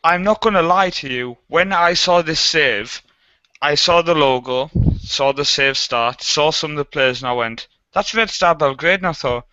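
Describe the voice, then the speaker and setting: Jokey, bright-voiced male podcast host. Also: fairly high pitch, normal speed, clear, resonant tone, no room sound, highs cut off. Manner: jokey, laughs often, chatty. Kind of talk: casual chat.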